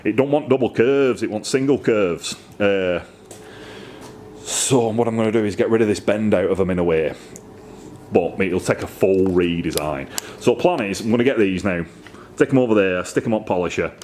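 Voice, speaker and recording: A middle-aged man with a fairly low voice talks casually and enthusiastically, rambling a little and poking fun at himself. He records in his own workshop, with a faint hum of noise behind him. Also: normal speed, a little mellow. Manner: chatty, rambling, self-deprecating, enthusiastic. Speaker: motorcycle exhaust maker. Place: indoor workshop.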